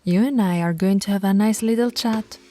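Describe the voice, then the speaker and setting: Woman voicing a female werewolf alpha, commanding, scolding, mellow dark tone, soft whispers, audio roleplay. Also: mid-range voice, a little fast, no echo, close to an everyday voice. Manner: bossy and scolding, dropping to soft whispers at times.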